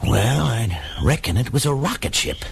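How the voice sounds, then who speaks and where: An actor playing a folksy, gruff, kindly farmer and cautious foster father, gravelly, in an old-fashioned audio comic. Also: medium pitch, animated, moderate pace, background noise. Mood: folksy wonder. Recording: loud music bed behind voice.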